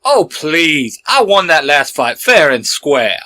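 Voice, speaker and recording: Combative, taunting superhero rival, acted by a man, sharp highish voice, for fan fiction audio. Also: big pitch swings, slow, exaggerated voice.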